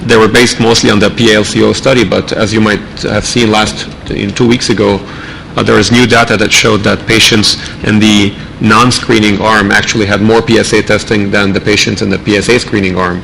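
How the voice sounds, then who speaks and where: Male professor on a panel, low flat gravelly voice with a bright edge, fluent and reasoned. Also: brisk, slight background noise, rough recording. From Lebanon and the United States. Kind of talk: conference panel discussion.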